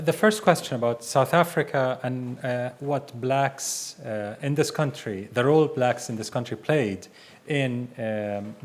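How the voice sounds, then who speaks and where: A man from Palestine, an invited speaker, answering audience questions at a bookstore talk in a measured way with fillers between phrases. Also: mid-range voice. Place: bookstore event room.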